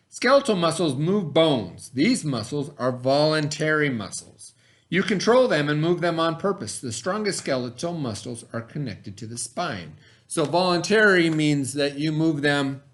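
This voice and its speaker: Guy teacher doing a read aloud lesson, voice a bit high, stops to explain stuff and goes okay?. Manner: pauses to explain, checks in with "okay?". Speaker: teacher reading aloud to pupils.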